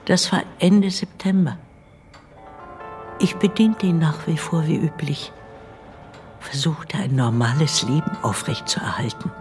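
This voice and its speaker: An actress playing the tender ghost of an older nanny and servant woman in a radio play, hushed and deliberate. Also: sits low, soft background music. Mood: recounting. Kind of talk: German radio play narration.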